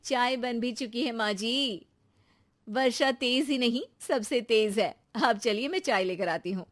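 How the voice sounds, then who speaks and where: A woman's voice: on the high side, unhurried, close to an everyday voice. A woman voicing a boastful, impatient young daughter-in-law in a cartoon moral story, with a teasing, scolding manner.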